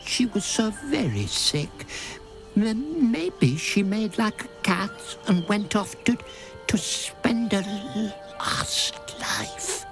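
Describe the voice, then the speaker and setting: A woman voicing a shy, anxious talking cat in a video game, stammering and halting as she pleads. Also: mid-range voice, very animated, over music, exaggerated voice, poor audio. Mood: timid, sad. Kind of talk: video game character acting.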